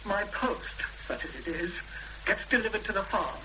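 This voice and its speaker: An actor playing a beaten, bitter, self-pitying recluse in a 1970s British radio drama, halting and plaintive in his protests. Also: sits high, expressive. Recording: faintly noisy, humming old radio broadcast.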